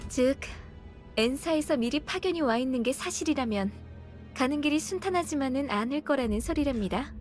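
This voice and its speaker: Polite young female squad member, high swooping pitch, game dialogue. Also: animated, rapid-fire, darkish tone, soft background music. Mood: uneasy, polite. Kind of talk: Korean game voice acting.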